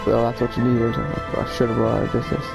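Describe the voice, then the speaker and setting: A man giving casual, low-key gameplay commentary as a let's player, musing and reading results aloud. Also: level tone, fairly quick, creaky. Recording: game music under the voice. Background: game music.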